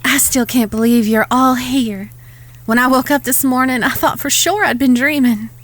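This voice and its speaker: An actress as a proud frontier ranch woman in a Western audio drama. Her voice is notably high, with a Southern American accent and the feeling of someone long wronged. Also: normal speed, slight background noise, natural voice. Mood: gentle, wistful.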